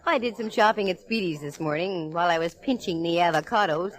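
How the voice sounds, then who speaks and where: A woman's voice: fairly low pitch, brisk, close to an everyday voice, rough recording. A woman playing a breezy, sardonic female private eye in a 1940s radio drama, teasing and unflappable. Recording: old radio show, restored.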